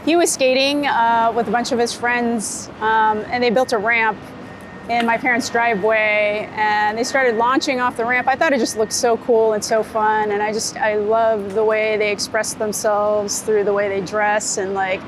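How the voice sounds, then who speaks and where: Woman, American accent, fairly high voice, chatty, casual interview style, faint city and traffic noise. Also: fairly even intonation, normal speed. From United States, Florida. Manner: chatty, laughs easily. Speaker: photographer being interviewed. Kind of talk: casual chat while out and about. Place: on location in a city, rooftop, car and street. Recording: outdoor city and vehicle noise.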